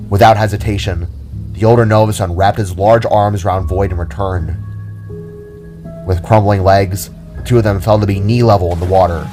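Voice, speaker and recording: A man with a low voice narrates a scripted fantasy story in a dramatic audio-drama style. Music and rain play behind his reading. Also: a little mellow.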